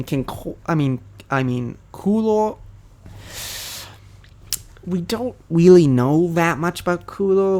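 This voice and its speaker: A man's voice: fairly high pitch, a little mellow. A young man talking casually to camera about anime, hesitant and full of ums and self-corrections. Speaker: anime commentary youtuber. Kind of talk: casual rambling monologue.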